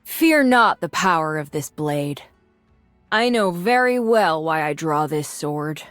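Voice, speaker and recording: A woman voicing a mature, resolute and idealistic swordswoman in a video game, composed and earnest in her measured delivery. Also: sits low, animated, a bit bright, no room sound, soft background music, full character voice. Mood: steady, determined. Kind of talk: scripted video game character lines.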